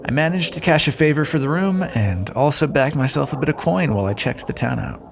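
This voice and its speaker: A man's voice: a little high, expressive, fairly quick, gravelly, noisy background. A man playing a roguish, sardonic but decent thief in an audio roleplay, talking directly and teasingly to the listener. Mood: dry, nonchalant. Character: roguish male thief escorting a deposed queen, wry but decent.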